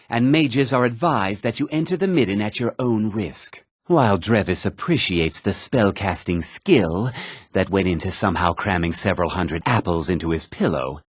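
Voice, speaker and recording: An actor playing a learned mage of a magic college in a fantasy video game, speaking in a formal, deliberate, articulate way. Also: male voice, fairly low pitch, slightly rough, no echo, studio quality. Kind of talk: scripted fantasy game dialogue.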